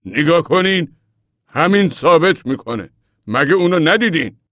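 A man voicing a gruff, self-important older man in an audiobook story, with a sharp and surly manner. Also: medium pitch, expressive, no echo, clean studio sound, voice pushed up, lightly acted. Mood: gruff, irritated. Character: gruff, proud older man, curt camp regular.

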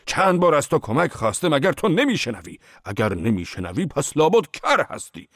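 Man voicing a furious peasant father, shouting, high strained voice, accusing, dry close sound. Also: no echo. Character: furious peasant father, middle-aged man, desperate and defiant.

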